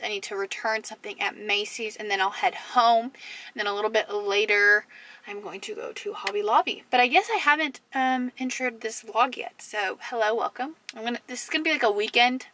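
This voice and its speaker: A young woman vlogging casually to the camera, her voice a little hoarse from a cough, chatty and enthusiastic with many fillers. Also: fairly high pitch, bright, forceful tone, dry recording. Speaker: lifestyle vlogger. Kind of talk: casual vlog chat to camera. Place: parked car, then in a large store and a parking lot. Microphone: camera on dashboard or handheld at arm's length.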